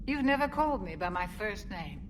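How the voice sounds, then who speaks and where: An actress playing an older female officer, fond, formal and gently wry, in a video game. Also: mid-range voice, big pitch swings, fairly quick, background noise, natural voice. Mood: amused.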